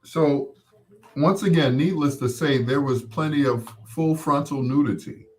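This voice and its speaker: Slow-talking older American man reminiscing. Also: fairly high pitch. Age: 60s. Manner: rambling, conversational storytelling, repeating himself for emphasis. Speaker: host. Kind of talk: casual live chat, reminiscing.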